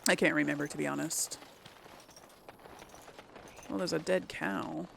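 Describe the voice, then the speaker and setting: A woman's voice: low voice, fairly even intonation, a little slow, vocal fry, fairly bright tone, a little hiss or hum. A woman giving casual game commentary to viewers, exclaiming and muttering her reactions as she plays. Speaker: let's play host. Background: game music.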